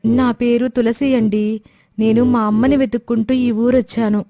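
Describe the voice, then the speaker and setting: Woman acting earnest young daughter, mellow and dry. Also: a little high, flat delivery, moderate pace, dry recording, voice pushed up, natural voice. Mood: polite, anxious. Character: earnest young daughter searching for her lost mother. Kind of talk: Telugu moral story character acting.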